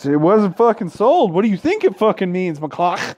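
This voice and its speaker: A man's voice: high-pitched, very animated, moderate pace, darkish tone. A man hosting a gaming video, reading visual novel text aloud and adding casual, irreverent commentary. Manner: irreverent, sweary heckling between read passages.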